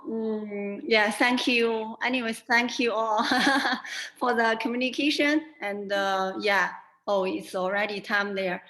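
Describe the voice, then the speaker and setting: Woman host with a fairly high voice, echoey. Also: pushed and bright. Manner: hesitant, with many fillers and light laughs. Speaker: forum organizer and host. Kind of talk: closing remarks on a video call.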